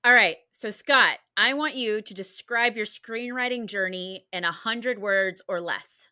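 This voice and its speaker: A woman's voice: medium pitch, unhurried, bright, forceful tone, no echo. A woman hosting a podcast, talking in an informal, chatty way. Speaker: podcast host. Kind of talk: casual podcast introduction and interview chat.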